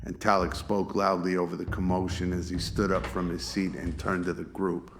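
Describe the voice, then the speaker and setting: A man's voice: deep voice, little pitch movement, normal speed, noisy background. A man reading scripted narration for a radio drama.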